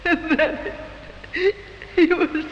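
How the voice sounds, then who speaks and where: A woman's voice: very high-pitched, level tone, very slow, a bit bright, full character voice. An actress playing an emotional, pleading young woman on trial for shooting her lover in a 1950s radio drama. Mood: grieving, shaken. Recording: muffled, noisy, reverberant old radio recording.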